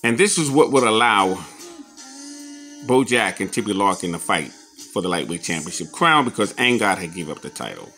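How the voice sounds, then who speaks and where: A man giving a casual show-and-tell commentary as an enthusiastic collector, halting a little as he reads old clippings aloud. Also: lowish voice, animated, sharp tone, soft background music. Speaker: boxing memorabilia collector showing his scrapbooks on video.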